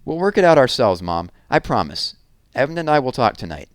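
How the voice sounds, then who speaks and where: A man's voice: medium pitch, big pitch swings, brisk, no echo, studio quality, voice pushed up, close to an everyday voice. A man voicing an indignant, earnest young girl, the little sister in a children's story. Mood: reassuring.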